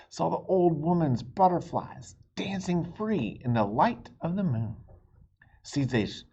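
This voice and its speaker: A man reading a children's picture book aloud in an expressive storytelling style, with dramatic pauses. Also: mid-range voice, breathy edge. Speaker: storybook reader.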